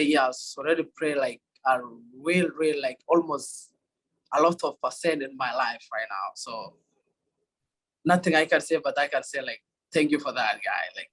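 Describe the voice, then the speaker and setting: Kenyan college runner, young man, husky tone, halting answers. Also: mid-range voice, a bit bright, low-quality recording. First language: Swahili. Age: young adult. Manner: halting, many fillers and restarts. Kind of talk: interview answers.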